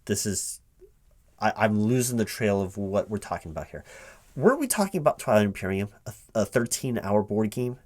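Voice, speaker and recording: A man playing a recruiting interviewer in a one-sided spoken ASMR roleplay, halting and repeating his words in a baffled, exasperated way. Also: on the low side, big pitch swings, darkish tone. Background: computer keyboard typing.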